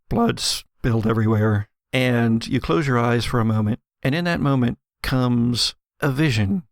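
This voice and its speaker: A man working as game master, narrating a tabletop horror game with suspenseful pauses and vivid description. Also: medium pitch, no room sound, studio quality. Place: home studio.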